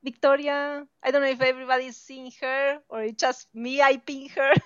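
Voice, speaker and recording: A woman's voice: high-pitched, level tone, normal speed, a bit bright, dry recording, lo-fi sound. A woman from Argentina, a native Spanish speaker with an Argentine accent, gives an informal online math lecture, friendly and full of fillers and laughs. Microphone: video call.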